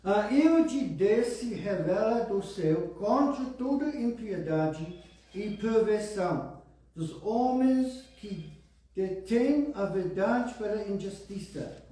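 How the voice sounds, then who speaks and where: A man preaching a sermon in Portuguese with a foreign accent, reading scripture slowly and deliberately with small restarts. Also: high-pitched, lively intonation, warm tone. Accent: English-speaking foreigner speaking Portuguese. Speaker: pastor. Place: church hall. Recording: roomy church hall, voice from far off.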